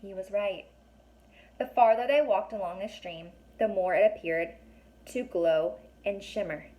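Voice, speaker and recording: A woman reading a children's story aloud, pausing to ask young listeners questions and explain things in a chatty way. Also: mid-range voice, mellow, low-quality recording. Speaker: teacher or reader reading a children's book aloud.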